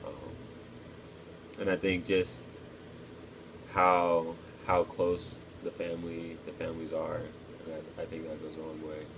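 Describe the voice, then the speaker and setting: Young man from Texas, deep and even, measured interview answers with audible room hum. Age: 20s. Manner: thoughtful, pauses with ums. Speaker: interviewee, cadet.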